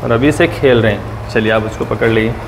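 A man's voice: medium pitch, a little fast, creaky, noisy background. A man hosting an on-site interview in a goat pen, repeating prices and details back for viewers. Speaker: host of a goat-sale channel.

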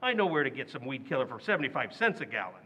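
A man's voice: mid-range voice, very animated, racing, pushed and bright, husky, exaggerated voice. An actor playing a blustery, boastful working-class father in an old-time radio play recreation, mangling big words.

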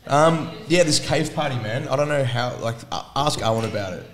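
A man's voice: mid-range voice, normal speed. A man hosting a podcast in casual chat, telling stories in a rambling, profane way.

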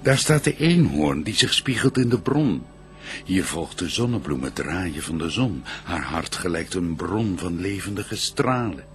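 Very deep, stately male archangel. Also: expressive, faint music behind. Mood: reverent, marvelling. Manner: stately, declamatory, measured. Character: solemn, reverent archangel praising paradise. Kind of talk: declaimed verse radio play.

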